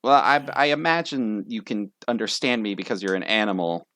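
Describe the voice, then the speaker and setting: A man's voice: on the low side, expressive, fairly quick, bright, forceful tone. A man doing a foul-mouthed slacker jackalope. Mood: indignant. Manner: laid-back and foul-mouthed. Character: crude slacker young male jackalope.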